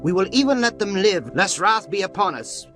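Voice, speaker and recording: Guy doing a high bright pleading voice, bouncy, bible drama. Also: sing-song, moderate pace, soft background music. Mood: solemn. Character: pleading group of ancient men. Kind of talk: dramatized scripture reading.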